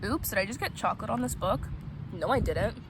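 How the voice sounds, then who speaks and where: Woman vlogging outside, chatty and playful, normal pitch, lots of street noise behind her. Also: expressive, a little fast. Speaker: host of a book vlog. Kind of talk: casual vlog chat and novel read-aloud. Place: outdoors at a picnic spot. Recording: noisy outdoor recording with traffic ambience.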